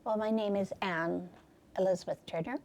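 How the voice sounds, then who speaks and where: A woman in her seventies, a counselor and artist, introducing herself slowly with halting pauses. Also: mid-range voice, steady tone, noisy background. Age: 70s. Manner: slow, halting, with frequent fillers. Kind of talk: interview self-introduction.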